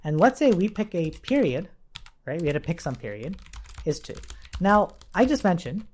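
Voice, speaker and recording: A man's voice: high-pitched, sing-song, moderate pace, darkish tone, a little hiss or hum. A man teaching in an informal coding tutorial, thinking aloud and explaining as he goes, often checking in with the listener. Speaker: programming instructor.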